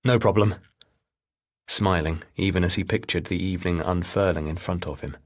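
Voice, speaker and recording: Low husky male audiobook narrator. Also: steady tone, a little fast, a bit bright. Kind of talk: scripted narration of a thriller novel.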